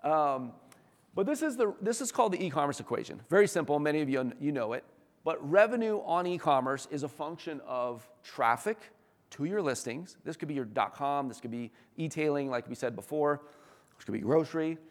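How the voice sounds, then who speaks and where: A man's voice: on the high side, expressive, a little fast. Enthusiastic male presenter in a reverberant hall. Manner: enthusiastic, conversational, emphatic. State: slightly hoarse. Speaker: company executive presenting. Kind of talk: informal business presentation to an audience. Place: large room, talk before an audience. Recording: echoey hall with long reverb.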